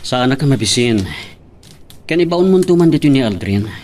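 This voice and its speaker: A man playing a bitter, middle-aged husband and father who drinks, with a husky voice, in an audio drama. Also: medium pitch, lively intonation, normal speed, darkish tone, close to an everyday voice. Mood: sullen. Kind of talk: Ilocano radio-style audio drama.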